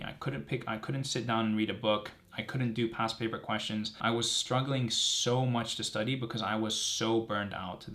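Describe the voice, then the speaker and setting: A man's voice: lowish voice, fairly bright tone. A man in his twenties from Greece chatting casually and earnestly as a student vlogger, repeating words for emphasis. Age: 20s. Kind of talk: casual direct-to-camera chat, answering assumptions.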